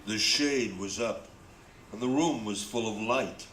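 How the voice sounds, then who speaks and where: A man's voice: lowish voice, unhurried, fairly bright tone. A man reading scripted narration for a radio play. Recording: roomy, faint background noise.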